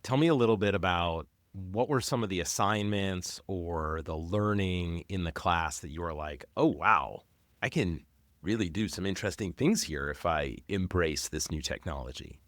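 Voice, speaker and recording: Deep-voiced male interviewer. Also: moderate pace, a bit bright, dry recording, clean studio sound. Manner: conversational, probing follow-up questions. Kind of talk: career interview.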